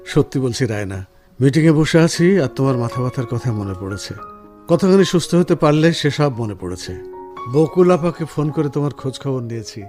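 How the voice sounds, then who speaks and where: A man's voice: medium pitch, lively intonation, fairly quick, darkish tone, lightly acted. Tender, devoted husband played by a man, music behind. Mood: tender, relieved. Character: caring, devoted husband, a working professional. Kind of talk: Bengali radio drama acting.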